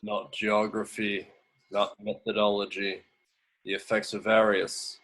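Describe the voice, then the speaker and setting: Guy doing careful superhero planner, lowish voice, serious n deliberate. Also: flat delivery, a bit bright. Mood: pondering. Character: serious, cautious male superhero strategist. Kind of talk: script table read acting.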